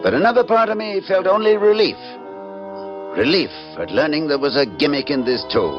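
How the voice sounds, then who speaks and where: Troubled husband in vintage radio drama. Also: male voice, fairly high pitch, sing-song. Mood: bitter, wry. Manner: theatrical, halting with repeated words. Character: troubled husband, stage performer, confessing to police, darkly wry. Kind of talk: old-time radio drama acting, narrating a confession. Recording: dull vintage radio recording with music.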